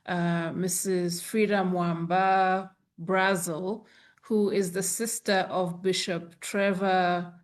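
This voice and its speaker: A woman from Zambia moderating and closing a press conference, composed and courteous. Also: lowish voice.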